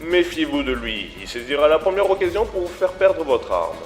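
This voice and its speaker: A man's voice: fairly high pitch, very animated, moderate pace, faint music behind. A man playing an icy, scheming old crime boss. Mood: manipulative. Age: older. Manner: formal, icy, condescending. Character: cold scheming middle-aged male crime boss. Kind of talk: amateur audio drama.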